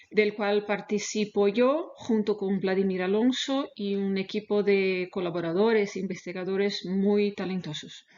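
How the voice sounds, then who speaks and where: A woman researcher gives an online lecture in Spanish, which is not her native language. Her pitch is mid-range for a woman and her phrasing is careful and a little halting. The webinar audio is slightly dull and lo-fi. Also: darkish tone. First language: not Spanish, speaks Spanish as a second language. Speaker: animal welfare researcher, webinar presenter.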